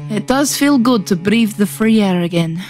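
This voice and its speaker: A woman's voice: medium pitch, expressive, normal speed, darkish tone, lightly acted. Woman playing a brash young rebel woman. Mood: teasing, persuading. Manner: brash, teasing. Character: brash, cocky young woman boat-builder, teasing rebel. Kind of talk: dramatic fantasy fan-dub acting. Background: music.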